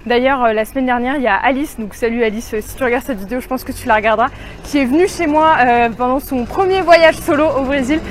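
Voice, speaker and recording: A woman's voice: sits high, quick pace, faint music behind. A young woman vlogging casually as she walks through a city, talking in a chatty way full of fillers and restarts. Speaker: travel vlogger. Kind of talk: casual vlog walking tour. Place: outdoors in a city street. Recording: outdoor street noise. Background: street noise and passing cars.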